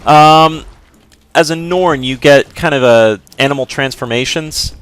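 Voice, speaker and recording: A man's voice: a little high, measured pace, fairly bright tone, smooth. A man casually commentating a game walkthrough, explaining as he plays, with a rambling, hedging way of talking. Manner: rambling, lots of fillers and hedges. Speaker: gameplay commentator.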